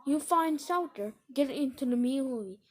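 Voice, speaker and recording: A woman's voice: sits high, expressive, brisk, a little mellow. A woman reading a scripted emergency broadcast announcement in a formal, stilted, solemn way.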